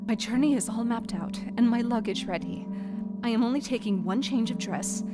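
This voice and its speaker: A devoted young Victorian fiancée, played by an actress confides her journal in a radio play. Her voice is fairly high, earnest and full of tender feeling, with music clearly playing beneath it. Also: natural voice. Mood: eager, loving.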